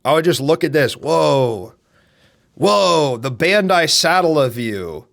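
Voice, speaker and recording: Loud, brash man with a deep voice. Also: sing-song, slow, creaky. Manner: loud and brash, swearing, swinging from shouts to mutters. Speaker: streamer. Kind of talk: casual live-stream chat.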